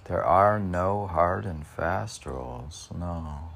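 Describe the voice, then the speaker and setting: A man rambling in a halting, musing way as a poet, asking himself questions and answering them, in an amateur recording. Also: low voice, animated, vocal fry, a bit bright. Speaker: poet reading and discussing his own work. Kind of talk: rambling monologue of self-posed questions and answers.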